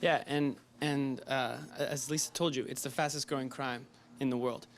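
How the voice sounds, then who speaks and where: A man, an actor being interviewed, speaking in an animated, indignant way about the film's cause. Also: mid-range voice, normal speed, a little hiss or hum. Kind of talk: casual interview.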